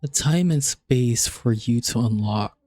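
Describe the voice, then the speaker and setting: Mellow-voiced man casually streaming, music behind. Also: mid-range voice, measured pace, dry recording, clean studio sound. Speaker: livestreamer. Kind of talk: casual gaming stream chat. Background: background music.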